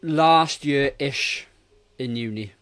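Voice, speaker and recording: A man's voice: mid-range voice, slow pace, a bit bright. A British man in his forties talking casually as a co-host on a film review podcast. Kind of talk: casual chat.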